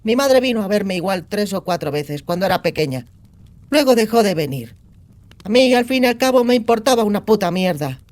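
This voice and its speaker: A woman's voice: medium pitch, animated, a little fast, a little mellow, close to an everyday voice. A brash young outlaw girl played by a woman in a dramatized fantasy audiobook. She talks in slangy, crude bursts with a cynical, sardonic edge. She sounds streetwise and foul-mouthed. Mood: bitter, flippant. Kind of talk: acted audiobook dialogue.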